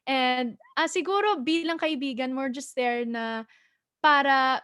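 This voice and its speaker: Bright high-voiced young woman singer. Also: a little slow, clean tone, no echo, studio quality. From United States. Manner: animated, mixes English and Tagalog, laughs often. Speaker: singer-songwriter guest. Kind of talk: press launch interview.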